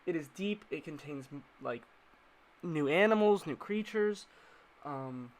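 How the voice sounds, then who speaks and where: A man's voice: a little high, expressive, a little slow. A man narrating a casual explainer video in an informal, slangy way, with playful asides.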